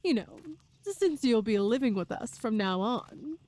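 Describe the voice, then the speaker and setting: A woman acts out a tall, strong Amazonian woman in an ASMR audio roleplay, eager and doting. Her voice is fairly high and very mellow, and she takes her time over gentle, slightly formal phrases. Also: animated, a little slow, dry recording. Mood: cheerful, protective.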